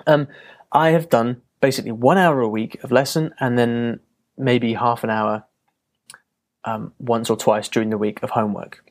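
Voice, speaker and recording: Man, solo podcast host, reflective musing, mid-pitched voice, lots of ums and restarts. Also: steady tone, normal speed. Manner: reflective, many fillers and restarts. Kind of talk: casual solo podcast monologue.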